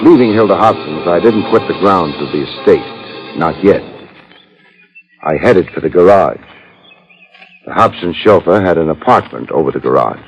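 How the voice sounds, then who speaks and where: Casting: male gumshoe, sardonic and dry, fairly deep, fifties radio detective drama, muffled recording. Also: animated, normal speed, faint music behind. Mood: wry narration. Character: wry, cool, wisecracking male private detective. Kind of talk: 1950s radio detective acting, with first-person narration.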